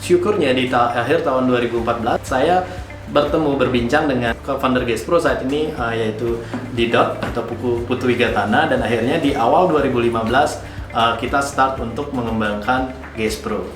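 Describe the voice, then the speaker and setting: A man from Bali, giving earnest, measured explanations as a founder being interviewed. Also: mid-range voice. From Bali, Indonesia. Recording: roomy echo with music behind.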